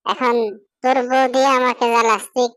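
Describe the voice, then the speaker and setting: A woman's voice: sits high, fairly even intonation, a little fast, fairly bright tone, no echo, studio quality. A woman voicing an aggrieved, complaining widowed mother of a grown son in a cartoon. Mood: scolding, fed up. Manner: complaining, pleading.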